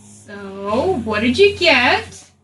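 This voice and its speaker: A woman's voice: high-pitched, big pitch swings, very slow, sharp tone. A woman chatting casually as a player in a tabletop role-playing game. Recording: roomy, distant mic, faint music underneath.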